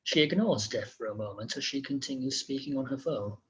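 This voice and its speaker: A man with a very rough, husky voice at a medium pitch narrates screenplay directions in a scripted style. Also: a little fast, a bit bright. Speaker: narrator reading stage directions.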